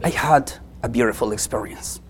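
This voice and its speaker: A visiting preacher, a native Spanish speaker with a Spanish accent, delivers a church sermon in a halting, earnest way, repeating phrases for emphasis. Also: mid-range voice, slightly rough.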